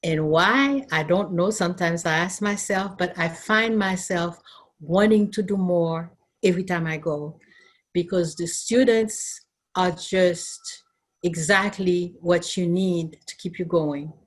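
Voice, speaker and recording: A middle-aged Haitian woman who is a nurse and educator, telling her story in an earnest, thoughtful way with pauses and fillers. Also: fairly low pitch. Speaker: nurse and nursing educator, interviewee. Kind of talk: recorded interview, personal recollection.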